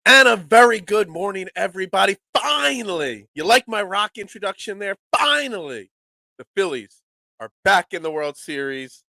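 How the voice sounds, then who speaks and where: A man hosting a live sports talk show, nasal and congested from a cold, excitable and rambling. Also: high-pitched, normal speed, creaky, dry recording. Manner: excitable, rambling, restarts often.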